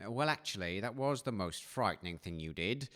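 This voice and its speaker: A man's voice: fairly low pitch, animated, brisk, a bit bright. A man playing a stern, vain railway master in an audio comedy, with a dry, fed-up, scolding manner.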